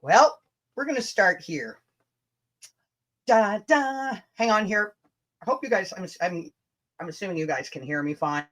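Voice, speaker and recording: A Canadian woman with a medium-pitched voice talks casually to her livestream viewers. She rambles and mocks, stretching words for sarcastic emphasis and swearing freely. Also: lively intonation, a little slow, pauses often, fairly bright tone. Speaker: livestream host. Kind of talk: casual, rambling livestream chat with mocking asides.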